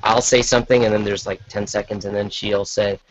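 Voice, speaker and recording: A man chatting casually as a podcast guest, halting and self-deprecating. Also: on the low side, level tone. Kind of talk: casual chat. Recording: dull, lo-fi call audio.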